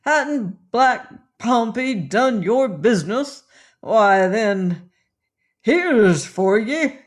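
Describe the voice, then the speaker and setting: A man's voice: sits high, fairly even intonation, slow. A man voicing a drunken, brutal pirate captain who roars and blusters in an audiobook reading. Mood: drunken roaring. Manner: roaring, blustering.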